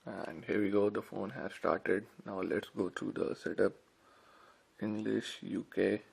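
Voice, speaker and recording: Man, deep voice, heavy vocal fry, casual hands-on review commentary, informal address to viewers. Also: steady tone, unhurried, darkish tone. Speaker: tech reviewer.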